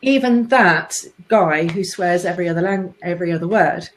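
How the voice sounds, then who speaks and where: A woman's voice: lowish voice, moderate pace. A woman speaking as a guest in a casual panel discussion, explaining her points steadily and firmly.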